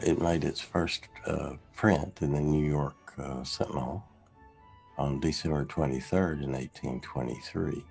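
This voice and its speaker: A man with a gravelly, husky voice reading classic Christmas verse aloud as a storyteller, in a measured, expressive way. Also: very deep voice. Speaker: reader, storyteller.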